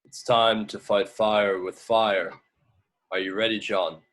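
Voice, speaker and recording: Slow, grave man playing an alien superhero. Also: lowish voice, little pitch movement, fairly bright tone. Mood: calm, urgent. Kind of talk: script table read.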